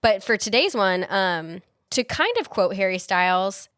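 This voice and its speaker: A young woman co-hosting a horror podcast with casual enthusiasm. She swears freely. Her voice sits at a middle pitch, recorded close and dry. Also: lively intonation, unhurried, fairly bright tone, no room sound. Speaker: podcast co-host. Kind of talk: casual chat.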